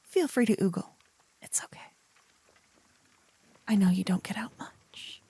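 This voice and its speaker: A woman playing a silly, adorable young paladin in an ASMR audio roleplay, teasing and theatrical, hushed in places. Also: mid-range voice, unhurried, slightly rough. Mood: proud, lecturing. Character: adorable silly young female paladin, bossy, smitten, playful know-it-all.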